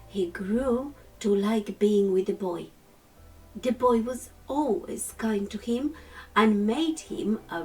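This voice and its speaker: A woman reads a picture book aloud for storytime. Her phrasing is slow and deliberate, in a mid-range voice. She sounds slightly away from the mic, with music playing behind her. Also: mid-range voice, recorded from a distance, rough recording. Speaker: storytime reader. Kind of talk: children's storybook narration.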